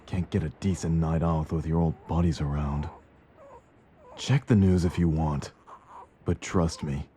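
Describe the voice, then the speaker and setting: A man's voice: low voice, dark tone, a little hiss or hum. A man playing a cocky villain in an intimate audio roleplay, with a teasing, smug, flirtatious drawl. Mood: menacing, taunting.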